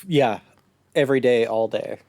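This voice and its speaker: Man, mid-pitched voice, casual podcast talk, easygoing, very little room sound. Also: normal speed, a little mellow, dry recording. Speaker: podcast co-host. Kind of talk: casual chat about video games.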